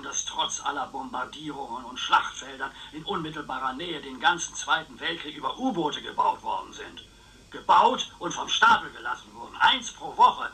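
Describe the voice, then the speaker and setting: An actor playing a wily, talkative old uncle in a German radio crime drama, excitable, teasing and boastful. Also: male voice, on the high side, full character voice, low-quality recording. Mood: proud, boastful. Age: older. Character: wily, boastful, chatty old uncle, retired wartime planner.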